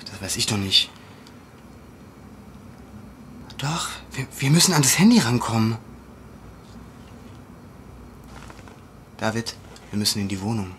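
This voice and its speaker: A man's voice: fairly low pitch, expressive, quick pace, a bit bright, a little hiss or hum. An actor playing a troubled, determined young man with a brooding, insistent way of talking, in an audio drama. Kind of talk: naturalistic audio drama acting.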